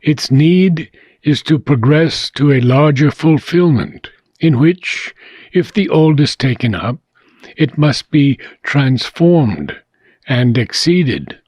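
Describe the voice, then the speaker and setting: A man reading a philosophical book aloud, in deliberate, measured phrases with frequent pauses. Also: on the high side, animated, darkish tone, husky, dry recording. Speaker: audiobook reader.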